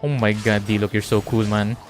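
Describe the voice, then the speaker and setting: Guy streaming a game, lowish voice, casual n sweary, music in the background. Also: flat delivery, moderate pace. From Philippines. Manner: informal, sweary. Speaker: livestreamer playing a game. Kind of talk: casual gameplay commentary.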